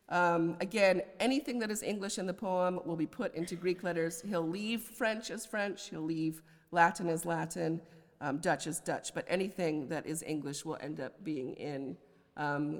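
A woman's voice: fairly low pitch, moderate pace. A woman with an American accent giving an academic poetry lecture, scholarly but with conversational asides. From United States. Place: large indoor lecture hall. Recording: reverberant lecture hall.

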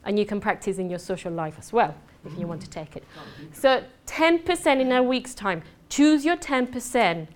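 A woman from southern Spain with a Spanish accent, giving a fast, chatty, joking networking presentation to a room. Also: medium pitch, animated. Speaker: networking speaker. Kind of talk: lively conference presentation. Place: conference room. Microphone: mic at a talk.

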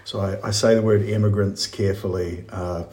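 Deep-voiced New Zealand man, echoey. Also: steady tone, normal speed, a little mellow. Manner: friendly, lots of "uh" fillers. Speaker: professor, guest expert. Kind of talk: explanatory interview answer.

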